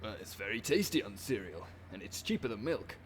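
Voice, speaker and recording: A man's voice: fairly low pitch, very animated, fairly quick, a little hiss or hum. A man playing an earnest, exasperated professor explorer in a comic puppet musical, with a stammering, flustered delivery. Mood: earnest, dry.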